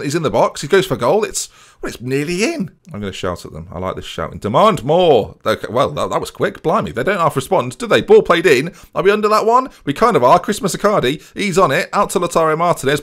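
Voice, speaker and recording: A man's voice: a little high, big pitch swings, quick pace, fairly bright tone. A young man commentating on a football management game from a small room at home, with a headset mic, chatty and jokey. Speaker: gaming streamer.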